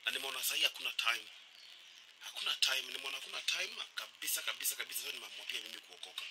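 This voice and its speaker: Noisy recording, man acting a streetwise Kenyan pal, lowish voice, quick talker, comedy skit. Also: lively intonation, natural voice. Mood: earnest. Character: streetwise, excitable male buddy on the phone. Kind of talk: scripted comedy skit phone chat, mixing Swahili and English. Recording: clearly noisy background.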